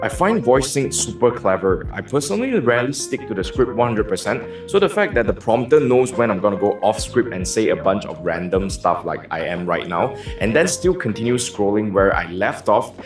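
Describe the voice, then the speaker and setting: A man's voice: fairly low pitch, very animated, normal speed, few pauses, over music. A man with a Malaysian accent reviewing gear from a script, dropping wry joking asides. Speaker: tech reviewer.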